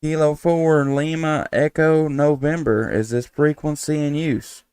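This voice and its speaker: A man hosting a casual live stream as an amateur radio operator, talking in a halting, folksy, rambling way. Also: a little high, steady tone, a little mellow, clean tone, no room sound. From Tennessee, United States.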